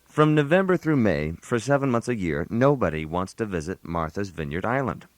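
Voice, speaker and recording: An actor playing a put-upon island host in a scripted comic radio monologue, giving genteel advice in a precise, mock-formal manner. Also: male voice, fairly low pitch, very animated, moderate pace, dry recording, soft background music. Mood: wry, exasperated. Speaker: narrator. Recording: analog radio aircheck.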